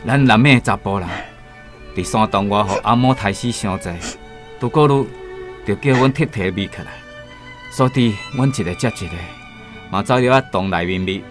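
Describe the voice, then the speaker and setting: Actor as desperate survivor, music behind. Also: male voice, fairly low pitch, normal speed, lightly acted. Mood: grim.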